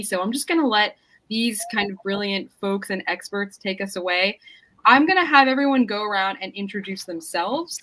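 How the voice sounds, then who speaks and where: An upbeat woman moderates an online panel over a video call. She keeps her tone informal and easygoing and fills the gaps with "um" and "so". Her voice sits in a middle pitch. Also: a bit bright, poor audio. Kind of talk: hosting a webinar panel.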